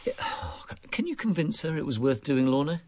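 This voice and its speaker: A man's voice: fairly high pitch, sing-song, normal speed, a little mellow, a little hiss or hum. An actor playing a boastful, easily fooled man in a radio drama who talks in a blustering, flustered way. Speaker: man with a new tattoo.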